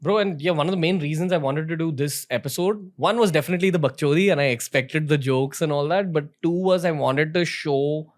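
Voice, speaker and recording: A man from India with an Indian accent, hosting a podcast interview in a relaxed, teasing, conversational way. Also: a little high, a little fast, few pauses. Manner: relaxed and teasing, with frequent fillers. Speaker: podcast host.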